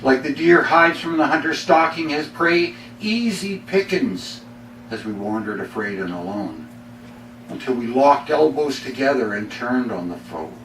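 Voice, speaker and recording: Middle-aged Canadian male poet, deliberate dramatic phrasing, echoey room, faint background noise. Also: medium pitch, expressive, fairly bright tone. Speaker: poet reading own work. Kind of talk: live poetry reading. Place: bookstore reading before a small audience. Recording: roomy, distant mic, faint noise.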